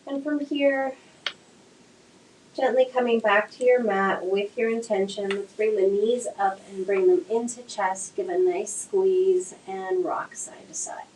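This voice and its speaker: A woman teaching a slow yoga class with gentle, soothing cues, her voice a little nasal from a cold. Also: mid-range voice. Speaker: yoga instructor. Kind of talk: guided yoga class instruction. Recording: echoey room, faint noise.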